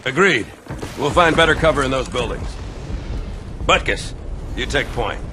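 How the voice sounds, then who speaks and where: A man's voice: medium pitch, lively intonation, moderate pace, lightly acted. Hard-bitten squad leader male actor for a shooter, terse and commanding, medium register, battle din behind. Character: terse, tough human marine sergeant giving orders. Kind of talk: action video game acting. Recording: battle noise, gunfire behind.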